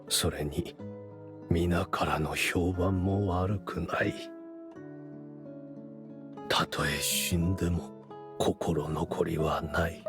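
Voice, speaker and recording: Deep, weary older teacher. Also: male voice. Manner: calm, unhurried, reflective. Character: weary, resigned, dignified older male teacher. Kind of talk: anime game voice acting. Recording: background music under the voice.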